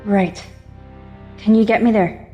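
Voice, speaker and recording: A woman voices a level-headed space commander from Earth, dry and practical. Her delivery stays calm even under pressure. Soft music sits in the background. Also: medium pitch, slow, muffled recording, natural voice. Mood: guarded. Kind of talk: audio drama acting.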